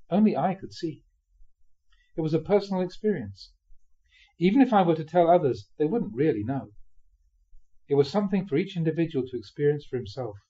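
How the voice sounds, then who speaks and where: A man reading a spiritual memoir aloud expressively, in a measured way with dramatic pauses. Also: fairly high pitch, dark tone, slightly rough, muffled recording. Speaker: reader of Buddhist teachings.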